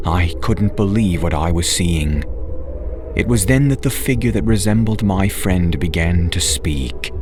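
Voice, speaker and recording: A man with a low-pitched voice reads a horror story as an audiobook narrator. His delivery is unhurried, measured and dramatic, over clearly audible background music. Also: lively intonation. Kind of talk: scripted first-person horror narration.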